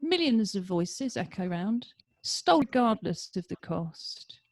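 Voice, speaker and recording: A woman's voice: medium pitch, big pitch swings, measured pace, no echo. A woman introducing and then reading her rhyming poem over a video call, chatty then rhythmic. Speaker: poet reading.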